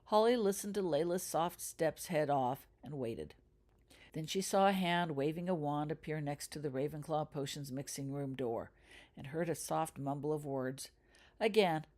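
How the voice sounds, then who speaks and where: A woman's voice: sits low, darkish tone. A woman narrating a story as an author reading their own fiction, in a scripted audiobook style.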